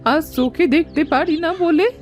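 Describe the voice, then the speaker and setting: A woman's voice: high-pitched, a little slow, soft background music. A woman playing a blind, aggrieved old village granny in a children's cartoon, plaintive and scolding. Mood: indignant. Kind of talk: Bengali children's cartoon voice acting.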